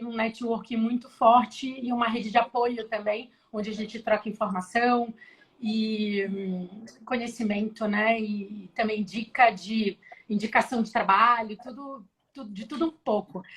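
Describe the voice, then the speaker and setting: A woman's voice: on the high side, rough recording. A woman from Belém in Brazil, speaking, chatting casually and explaining ideas over a video call. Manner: relaxed, explanatory, frequent restarts. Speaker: guest, tech professional. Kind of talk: casual live chat.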